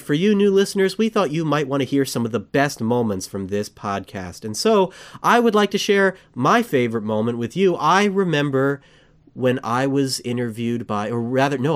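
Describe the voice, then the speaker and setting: A man hosting a fan podcast, chatting casually, rambling and correcting himself as he gets excited. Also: medium pitch, moderate pace. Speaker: podcast host.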